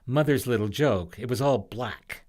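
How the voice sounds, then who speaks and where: A man's voice: mid-range voice, measured pace, darkish tone, close to an everyday voice. A man voicing a stubborn, snarky young girl in a storybook, pert and matter-of-fact.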